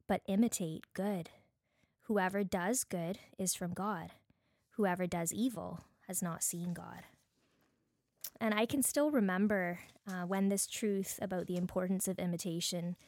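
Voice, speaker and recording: A woman with a Bajan accent giving an earnest, deliberate devotional teaching talk as a host, mixing prepared points with personal reflection. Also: fairly low pitch, a little mellow, no room sound, clean studio sound. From Barbados.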